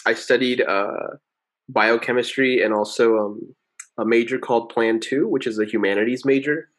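A man in his twenties from Venezuela, a medical student chatting as a podcast guest, relaxed and full of fillers. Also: mid-range voice, normal speed. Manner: relaxed, many fillers and restarts. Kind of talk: casual interview chat.

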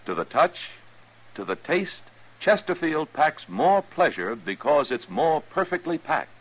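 A man's voice: fairly high pitch, big pitch swings, a little slow, fairly bright tone, husky. A man announcing a scripted 1950s radio advertisement. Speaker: commercial announcer. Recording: muffled old radio broadcast recording.

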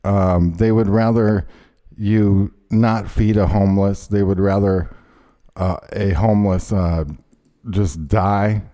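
Brief: male host, rambling indignant rant, low and mellow voice. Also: steady tone, slow. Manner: rambling, indignant, many fillers. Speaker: show host. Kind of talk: ranting casual chat.

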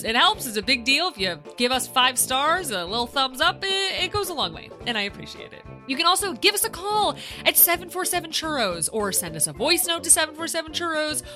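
A woman's voice: high voice, pushed and bright. A woman hosting a podcast in a bubbly, chatty, upbeat way. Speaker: podcast host. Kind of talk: casual podcast chat.

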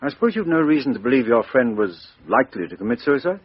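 Commanding inspector, animated, husky, dry lo-fi 1950s radio. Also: male voice, medium pitch, no echo. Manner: brisk, commanding, lively. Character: brisk middle-aged police inspector. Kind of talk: 1950s radio drama acting. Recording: dry, dull lo-fi old radio recording.

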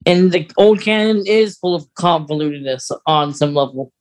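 Mid-pitched podcast co-host musing casually. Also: normal speed. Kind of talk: casual chat about Star Wars lore.

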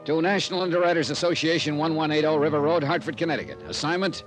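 An actor playing a wry, tough insurance investigator with an American accent, clipped and hard-boiled, in 1950s radio detective style. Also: male voice, on the high side, fast talker, soft background music, close to an everyday voice. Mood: brisk, wry.

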